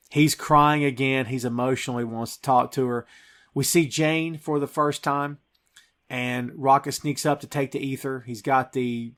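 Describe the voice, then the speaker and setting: A man's voice: medium pitch, fairly bright tone. A man hosting a podcast, retelling a film scene by scene in an enthusiastic, emphatic conversational way. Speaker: podcast host.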